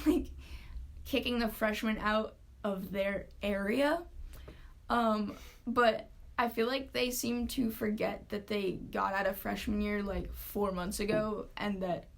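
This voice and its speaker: A woman's voice: mid-range voice, fairly even intonation, a little slow. Chatty woman, filler-heavy casual talk. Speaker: podcast guest. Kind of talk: casual chat.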